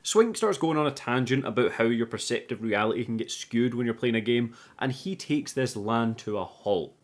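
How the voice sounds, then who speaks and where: A man hosting a scripted but casual film review, chatty, irreverent and quick to swear. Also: medium pitch, expressive. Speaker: video host reviewing a film.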